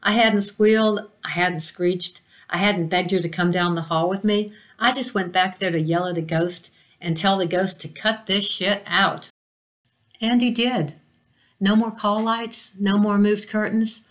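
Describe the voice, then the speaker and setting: A woman's voice: mid-range voice, fairly even intonation, a little slow, few pauses. A woman telling a first-person ghost story in a conversational way, acting out the quoted speech as she reads. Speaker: reader of a listener's story. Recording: music behind the voice.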